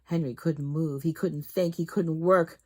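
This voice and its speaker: A woman storytime host reading a children's book aloud in an expressive, dramatic way that leans on key words. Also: deep voice, a little slow.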